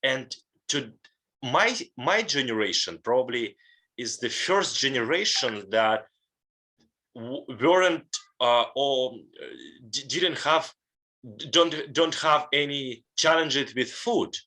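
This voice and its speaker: A man in his thirties from Russia, a podcast guest speaking with a Russian accent, earnest and halting as he searches for words. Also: medium pitch, animated, sharp tone, poor audio. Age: 30s.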